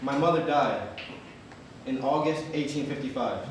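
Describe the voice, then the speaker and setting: A man performing a dramatic reading as a solemn freedman seeking lost relatives, formal and measured. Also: mid-range voice, a little hiss or hum, lightly acted. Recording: echoey hall from far off.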